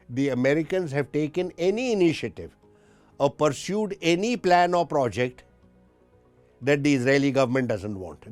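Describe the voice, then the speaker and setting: A man speaking as a diplomat guest, giving formal, emphatic and deliberate analysis in an interview. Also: fairly high pitch, darkish tone, no echo.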